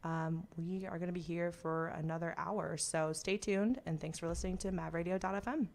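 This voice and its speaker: A woman's voice: fairly low pitch, fairly even intonation, moderate pace, gravelly, darkish tone. A young American woman chatting casually on air as a radio host.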